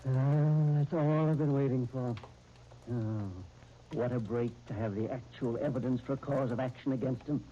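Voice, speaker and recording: An actor playing a shrewd, emphatic professor and legal counsel in a 1930s radio soap opera. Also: male voice, mid-range voice, fairly even intonation, moderate pace, close to an everyday voice. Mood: eager, urgent. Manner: emphatic, cautioning, old-fashioned slangy talk. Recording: muffled, hissy 1939 radio disc recording.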